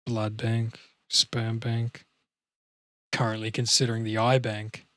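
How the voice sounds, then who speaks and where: A man's voice: fairly low pitch, completely flat delivery, takes it slowly, a bit bright, dry recording, pitched below the performer's own voice. Guy reading as a bummed out young slacker just dumped, sardonic wisecracks, table read, dry sound. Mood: glum, sardonic. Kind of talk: table read of a film comedy scene played sad.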